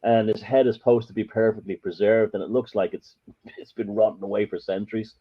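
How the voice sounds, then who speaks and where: A man's voice: on the low side, steady tone, a little fast, breathy edge. An Irish man, a podcast guest, telling stories in a rambling, emphatic way. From Ireland. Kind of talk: casual storytelling chat. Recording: muffled lo-fi audio.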